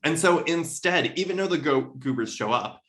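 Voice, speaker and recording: A man's voice: on the high side, expressive, moderate pace, fairly bright tone. A man in a casual literary discussion, talking emphatically and often checking in with rhetorical questions.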